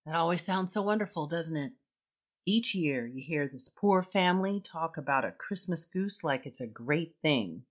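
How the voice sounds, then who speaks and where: A woman's voice: low voice, animated, normal speed. A woman playing a poor, fussing mother with a bitter edge in a radio drama, wry and emphatic.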